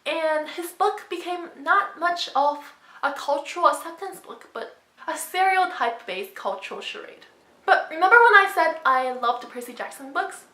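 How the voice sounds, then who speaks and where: A woman with a high, very lively voice speaks straight to camera like an opinionated vlogger. She makes her points emphatically, and the room around her sounds echoey. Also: moderate pace. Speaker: book vlogger. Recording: roomy echo.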